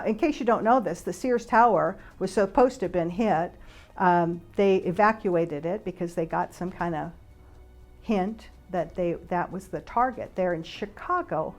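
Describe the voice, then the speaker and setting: A woman giving an informal explanatory monologue in a conversational, speculative way, halting with pauses. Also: mid-range voice, a little mellow. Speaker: speaker, flight attendant and author.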